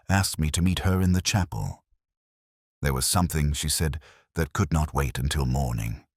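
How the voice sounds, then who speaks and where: An extremely deep voice in a dry, close recording, belonging to a man acting a world-weary detective. He narrates his case like a radio drama, solemn and measured. His intonation rises and falls expressively while the temper stays reflective. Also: breathy edge, no echo, exaggerated voice. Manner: measured, solemn, literary phrasing. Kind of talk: scripted first-person detective audio drama narration.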